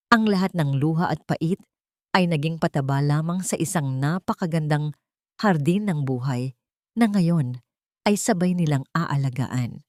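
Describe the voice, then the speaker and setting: A woman's voice: sits low, darkish tone, no room sound, studio quality. A woman with a Filipino accent narrating a scripted, dramatic audio story.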